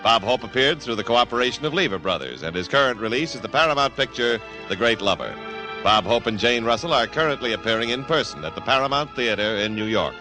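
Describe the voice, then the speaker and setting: A man's voice: mid-range voice, lively intonation, fast, music in the background, rough recording. An American man announcing a radio show and its sponsor in scripted 1950s broadcast style.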